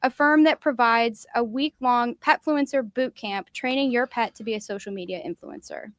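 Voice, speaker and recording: A woman hosting a podcast quiz game, casually reading out each round and chatting in between, sometimes clearing her throat. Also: on the high side, normal speed, no echo.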